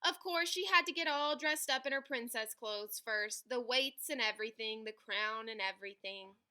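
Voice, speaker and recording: A woman reading a children's picture book aloud in an animated, dramatic way, stretching key words for emphasis. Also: a little high, moderate pace, smooth. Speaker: read-aloud storyteller.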